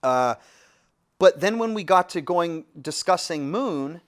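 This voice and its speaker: A man's voice: on the high side, takes it slowly. A man, a music producer, casually telling a studio story with lots of fillers and restarts. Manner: relaxed, rambling with many fillers and restarts.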